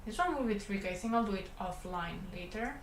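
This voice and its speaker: Catalan-accented woman, middle pitch, relaxed livestream chatter while working, roomy sound. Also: fast talker. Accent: Spanish Catalan. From Catalonia, Spain. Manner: relaxed, rambling, talks to chat while working. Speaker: animator streaming her work. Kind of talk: casual livestream chat while drawing. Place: animation studio room. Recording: roomy, faint background hum.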